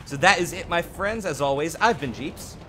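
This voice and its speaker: Animated, higher-pitched man doing game commentary. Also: normal speed, faint music behind. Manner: opinionated, emphatic, conversational. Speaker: gaming channel host. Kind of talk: casual game update commentary.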